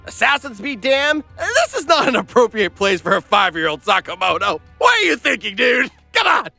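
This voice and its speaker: A man's voice: very high-pitched, wildly swooping pitch, normal speed, creaky, sharp tone, no echo, faint music behind. A man narrating a scripted comedic recap, mock-dramatic with sarcastic asides.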